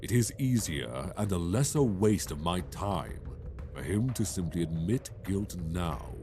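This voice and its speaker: Man playing a deep, imperious lord judge. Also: lively intonation, measured pace, mellow, slight background noise. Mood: impatient. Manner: formal, haughty. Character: cold imperious male lord judge, impatient executioner. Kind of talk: fantasy audio drama acting.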